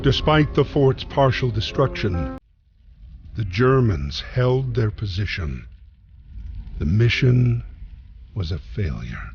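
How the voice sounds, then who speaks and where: A man's voice: lowish voice, very animated, takes it slowly, long pauses, full character voice. A man narrating a wartime story in a scripted video game style. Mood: solemn storytelling. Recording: dull sound with music behind. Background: music.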